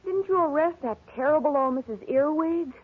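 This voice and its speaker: An actress with an American accent playing a naive, devoted young secretary, wide-eyed and hesitant, in 1940s radio style. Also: female voice, high-pitched, animated, fairly quick. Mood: fretful, curious. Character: naive, devoted young female detective's secretary, eager and fretful. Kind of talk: 1940s American radio comedy-drama acting. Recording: noisy, tinny old radio recording.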